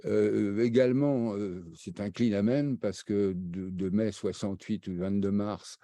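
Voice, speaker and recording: Older man, deep, halting recollection. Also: fairly even intonation, mellow, no echo. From raised half in Brazil, half in France. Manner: halting, many fillers and restarts. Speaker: interview guest, economist. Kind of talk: hesitant spoken recollection.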